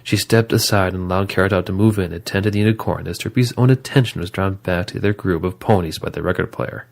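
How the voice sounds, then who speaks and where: A narrator with a very low voice reads scripted story prose. The delivery is that of an audio drama storyteller. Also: quick pace, gravelly.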